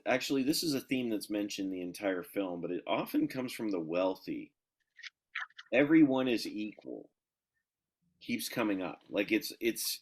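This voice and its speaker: A man hosting a film podcast, posing thoughtful questions to his guests in a conversational way. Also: lowish voice.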